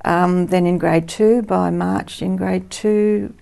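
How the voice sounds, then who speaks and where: A woman in her sixties talks as a guest in a television studio interview. Her voice sits low and carries a gravelly edge. She speaks warmly and reflectively, pausing often to gather her thoughts. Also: fairly even intonation. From Netherlands, raised in Australia. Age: 60s. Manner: warm, reflective, frequent ums and soft laughs.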